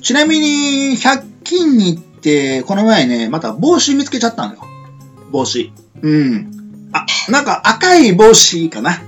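A man hosts a laid-back radio chat. His pitch moves around in a lively, animated way, and he pads his talk with fillers and polite turns of phrase. Soft guitar music plays along with him. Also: measured pace. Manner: chatty, frequent sentence-ending fillers, polite sign-offs. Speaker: radio show host. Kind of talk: casual amateur radio chat.

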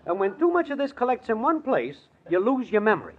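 An actor playing a breezy, shiftless, slangy city boyfriend in a 1940s radio comedy. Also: male voice, extremely high pitch, big pitch swings, fast. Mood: cocksure, coaxing. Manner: slangy, confidently ignorant. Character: breezy, shiftless city wise-guy boyfriend, cheerfully ignorant. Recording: muffled noisy old radio, audience.

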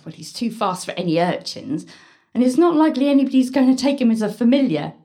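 A medieval maid, highish soft-toned voice w lots of lilt, fussing and a bit bossy. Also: female voice, sing-song, fast. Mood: reassuring, frank. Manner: solicitous, gently bossy. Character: caring, plain-spoken medieval maidservant, no longer a girl. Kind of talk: audio drama acting.

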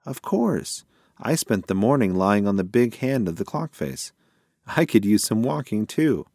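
An obliging young mouse from a children's storybook, voiced by a man. He is polite and agreeable. His intonation is animated, in a close, dry recording. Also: on the low side, measured pace, dry recording, heavily acted. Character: obliging, good-natured young male mouse nephew.

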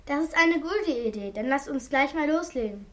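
High-voiced eager girl detective played by a female. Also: lively intonation, normal speed, fairly bright tone. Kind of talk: amateur German radio play acting. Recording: noisy amateur recording with clicks.

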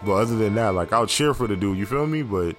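A podcast host with a fairly low male voice talks in a blunt, slangy, teasing way. His delivery is loose and casual, and music plays clearly behind him. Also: lively intonation, fast.

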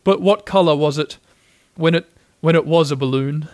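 A man's voice: fairly high pitch, moderate pace, a little mellow, no room sound, lightly acted. A man voicing a gloomy, self-pitying old donkey in a storybook, with a mournful, ponderous, repetitive way of talking. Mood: downcast, resigned.

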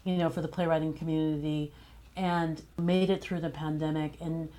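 A woman's voice: low voice, little pitch movement, moderate pace, mellow. A woman speaking casually as a guest playwright in an interview.

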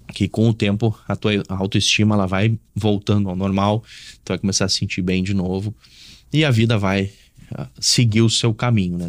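Low-voiced southern Brazilian man, dry sound. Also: no echo. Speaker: host giving relationship advice. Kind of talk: casual advice talk.

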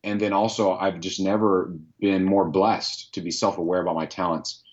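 A man with a deep voice answering interview questions in an earnest, reflective way, thinking aloud. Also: little pitch movement, moderate pace, highs cut off. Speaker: podcast host, guest here.

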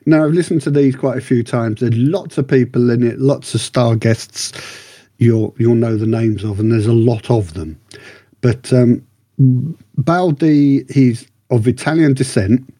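Male podcast reviewer, husky and mellow, chatty, rambling delivery with keen interest. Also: mid-range voice, a little slow, no echo, clean studio sound. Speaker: podcast co-host reviewing books and radio dramas. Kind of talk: casual review chat.

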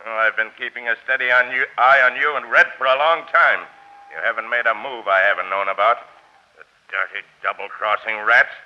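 A man's voice: mid-range voice, fairly even intonation, a little fast, lo-fi sound, lightly acted. A man playing a menacing, cool gang boss who talks in threatening slang in an old-time radio crime drama. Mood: cold, menacing. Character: menacing, cool, double-cross-hating male gang boss. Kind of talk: 1940s radio acting.

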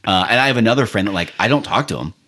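Casting: low-pitched American guy, loose rambling podcast talker, curses freely. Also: rapid-fire. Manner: rambling, swearing, animated retellings. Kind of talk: casual chat.

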